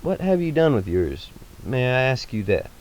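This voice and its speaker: A man voicing an eccentric, gentle male scientist, earnest and childlike, with slow, emphatic phrasing in a story reading. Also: mid-range voice, big pitch swings, voice pushed up, close to an everyday voice. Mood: grave, wistful.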